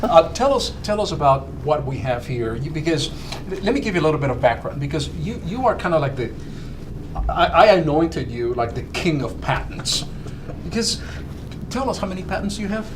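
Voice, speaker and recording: A man's voice: fairly high pitch, a little fast. A man hosting a podcast interview, enthusiastic and eager, often repeating himself as he gets going. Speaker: podcast host. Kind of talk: casual interview. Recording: steady background noise.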